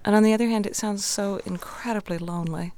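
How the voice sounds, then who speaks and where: A woman's voice: medium pitch, steady tone, fast talker. A woman interviewing a guest, asking inquisitive follow-up questions. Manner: warmly inquisitive, asks short follow-up questions. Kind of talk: radio interview.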